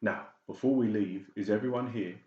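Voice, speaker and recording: A man's voice: lowish voice, moderate pace, roomy sound, highs cut off, natural voice. A man playing a stern, commanding senior doctor in an audiobook drama. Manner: stern, authoritative.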